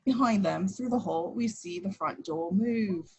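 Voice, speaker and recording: Woman reading stage directions for a movie script, mid pitch, bit husky. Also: measured pace, warm tone. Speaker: narrator of action descriptions. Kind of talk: scripted screenplay narration.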